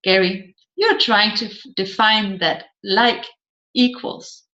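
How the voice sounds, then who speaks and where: Slow-paced woman narrator, roomy sound. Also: mid-range voice, steady tone, bright, forceful tone. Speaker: audiobook narrator. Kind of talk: scripted audiobook narration of a seminar transcript. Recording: slightly dull, roomy echoing sound.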